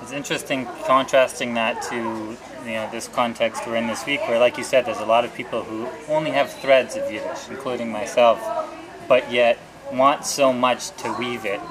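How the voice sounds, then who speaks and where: A man interviewing, speaking reflectively and a little haltingly as he searches for words. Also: mid-range voice, steady tone, poor audio. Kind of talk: conversational interview. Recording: busy crowd noise behind. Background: crowd chatter.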